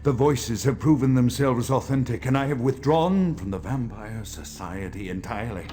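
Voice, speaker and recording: An actor playing a fearful, paranoid vampire doctor in a video game, reciting a formal, florid diary monologue. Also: male voice, medium pitch, moderate pace, mellow, natural voice. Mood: fearful, agitated. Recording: faint music behind the voice.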